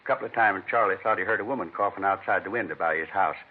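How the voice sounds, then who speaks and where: Husky, folksy American neighbor man, talking fast. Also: sits low. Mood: explaining. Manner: rambling, plain-spoken, folksy. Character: talkative, folksy middle-aged neighbor, earnest and rambling. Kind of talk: 1950s radio acting. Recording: thin, narrow-band vintage radio recording.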